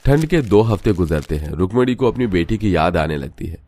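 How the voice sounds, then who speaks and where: A man's voice: low voice, very animated, brisk, warm tone, slight background noise. A man narrating a scripted cartoon story.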